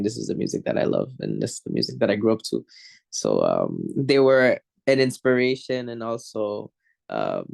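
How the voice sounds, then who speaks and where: A young man from Morocco, a musician guest on an interview show, talking in a relaxed, rambling way and laughing easily. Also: fairly low pitch, creaky. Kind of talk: casual interview.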